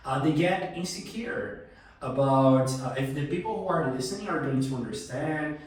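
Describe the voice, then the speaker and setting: A young Brazilian man with a Brazilian accent, whose first language is Portuguese, hosting a relaxed English chat with some hesitations and restarts. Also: mid-range voice, moderate pace. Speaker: host. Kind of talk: casual conversational English practice. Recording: very echoey room, distant mic.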